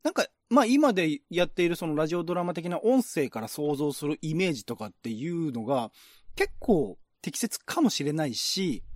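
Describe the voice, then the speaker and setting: A man's voice: fairly high pitch, no echo, studio quality. A man hosting a casual, explanatory discussion in polite speech, often checking in with his listener. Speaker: host and reader of a radio-drama reading show.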